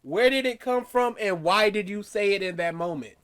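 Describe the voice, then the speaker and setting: A man with a high, bright, forceful voice who fires off one incredulous rhetorical question after another. He sounds like a co-host in a casual commentary podcast. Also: moderate pace, smooth.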